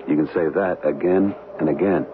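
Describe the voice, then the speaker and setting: An actor playing an easygoing, wry husband with a camera in a radio drama, casual and affectionate in manner. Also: male voice, deep voice, measured pace. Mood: relaxed, wry. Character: easygoing, wry American husband on vacation, keen photographer. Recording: tinny, noisy old radio recording.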